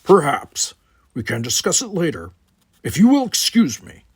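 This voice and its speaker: Man voicing a stern, forceful young Klingon cadet. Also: fairly high pitch, wildly swooping pitch, moderate pace, slightly rough, no echo, voice pushed up, full character voice. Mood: guarded, formal. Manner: stiff, formal, emphatic, no small talk.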